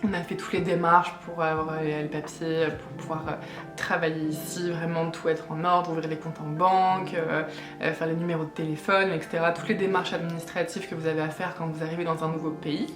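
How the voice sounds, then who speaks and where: A woman's voice: deep voice, level tone, brisk, roomy sound, over music. A woman in her late twenties from France, chatting casually to camera with lots of hesitations and fillers. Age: 20s. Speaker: vlogger. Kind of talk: casual vlog chat.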